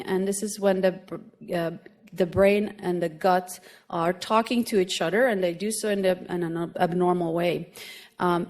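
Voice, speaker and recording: A woman with a Swedish accent delivers a medical lecture in a fairly low voice. She explains her points patiently and adds small touches of humor, often pausing and restarting. She speaks in a room with an audience listening. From Finland. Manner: explanatory, gently humorous, many hesitations. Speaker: grand rounds lecturer, pediatric gastroenterologist.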